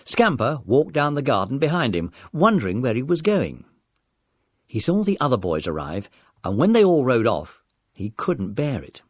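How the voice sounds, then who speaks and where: A man's voice: mid-range voice, huge pitch swings, normal speed, slightly rough, dry recording, studio quality. A man reading scripted narration for a children's audiobook drama.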